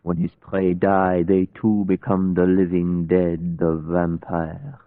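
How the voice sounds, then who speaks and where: A tinny vintage radio recording with heavy hall reverb carries a solemn, steadfast vampire hunter. His low voice, and he speaks in deliberate, formal, old-world phrases with pious gravity. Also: monotone. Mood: grave, instructive. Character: grave older foreign vampire hunter and scientist, steadfast and pious. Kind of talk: old-time radio drama acting.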